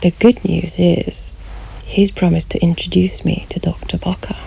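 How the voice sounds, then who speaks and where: Wry, husky-voiced woman. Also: lowish voice, little pitch movement, moderate pace, mellow, whispered, heavily acted, low-quality recording. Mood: intimate, confiding. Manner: wry, intimate, teasing. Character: teasing, flirtatious wife and sharp radio reporter. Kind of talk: naturalistic radio drama acting.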